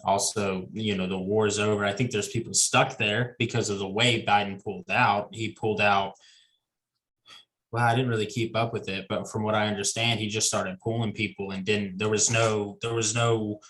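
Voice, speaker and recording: A man's voice: fairly low pitch, little pitch movement, normal speed, fairly bright tone, rough recording. A man in his twenties talking casually as a podcast guest, easygoing and rambling with restarts. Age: 20s. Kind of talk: casual conversation.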